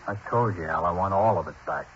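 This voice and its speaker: A quick, tense American male voice on the low side, playing an impatient small-time robber for old-time radio. He is blunt, slangy and demanding. The recording is slightly muffled and hissy. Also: fairly bright tone. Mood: pushy. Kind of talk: 1950s radio crime drama acting. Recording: muffled, faintly hissy 1950s radio broadcast.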